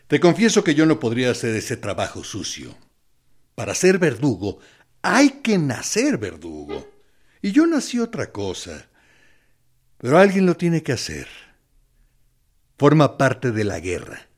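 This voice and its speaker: A menacing army captain in a radio drama. He speaks slowly, swinging widely in pitch as he feigns friendliness and talks down to his prisoner. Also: huge pitch swings, slightly rough, exaggerated voice. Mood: insinuating, self-justifying. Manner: condescending, mock-friendly, deliberate with pointed pauses. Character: menacing male military captain interrogating a prisoner, falsely sympathetic. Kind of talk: dramatic radio play monologue.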